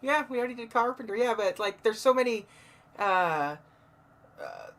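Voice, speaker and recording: A woman co-hosting a podcast, chatting casually about films in a halting way, circling back over her phrases. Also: on the high side, expressive, a crowd in the background. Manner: halting, repeats and restarts phrases. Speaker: podcast co-host.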